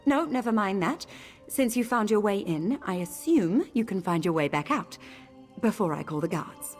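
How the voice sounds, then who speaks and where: A woman's voice: medium pitch, lively intonation, moderate pace, close to an everyday voice. An actress playing a proud, indignant female elf noblewoman in a fantasy video game, who speaks imperiously. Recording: game audio with faint music.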